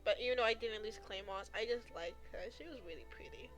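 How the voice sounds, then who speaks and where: A woman's voice: medium pitch, fast, a bit bright, soft background music. A woman game streamer chatting casually in a giggly, rambling way. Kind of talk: casual chat while live streaming.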